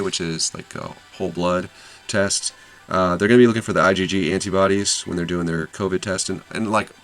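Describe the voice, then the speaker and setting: A man's voice: sits low, fairly bright tone. A man hosting an investing channel, casually summarizing an earnings call with lots of fillers and self-corrections.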